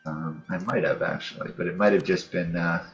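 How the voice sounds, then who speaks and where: A man's voice: deep voice, steady tone, a little fast. A man giving casual, unscripted commentary as the host of a gaming let's play, musing aloud in a hesitant way with trailing thoughts. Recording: dull lo-fi mic, music behind. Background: background music.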